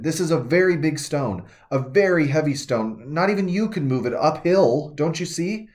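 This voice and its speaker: A man's voice: mid-range voice, a little mellow, natural voice. A man voicing a shaken, grieving woman disciple in an intimate first-person devotional monologue, urgent and trembling. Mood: distraught. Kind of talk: devotional reading in character.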